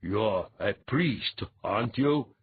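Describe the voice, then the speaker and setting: A man's voice: lowish voice, expressive, slow, husky, no echo, narrow, tinny audio, full character voice. A man voicing a self-righteous, indignant young priest, pompous and accusing, in an audiobook story.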